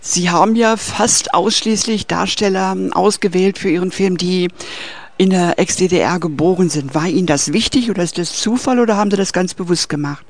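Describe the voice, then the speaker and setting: A man conducts an interview and puts measured, polite questions to his guest. His voice sits high for a man. A gravelly rasp runs through it.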